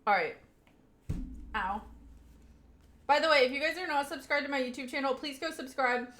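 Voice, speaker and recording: A woman's voice: high-pitched, moderate pace, fairly bright tone, reverberant, slight background noise. Giggly Southern woman streamer. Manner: chatty and giggly, often laughing mid-sentence. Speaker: live streamer. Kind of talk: casual chat with a live audience.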